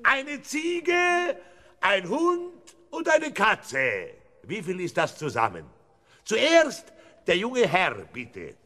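Radio play ringmaster: very high voice, slow grand delivery, excitable showman. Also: full character voice. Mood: teasing showman. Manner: grandiose, theatrical showman's patter. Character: excitable male circus ringmaster announcing grand attractions. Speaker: circus announcer. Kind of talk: 1970s German children's radio play acting.

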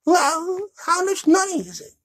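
Man putting on a very high-pitched sassy girl puppet. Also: very animated, measured pace, a bit bright, full character voice. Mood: chatty. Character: sassy young girl puppet, chatty and fussy. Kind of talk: puppet show banter.

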